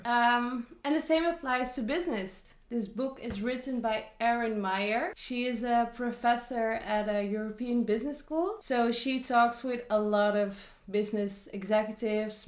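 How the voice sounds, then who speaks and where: Young woman vlogging about a book, kinda high voice, lots of asides, roomy echo. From Netherlands. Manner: chatty, with many asides and disclaimers. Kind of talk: casual book review to camera. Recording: echoey room.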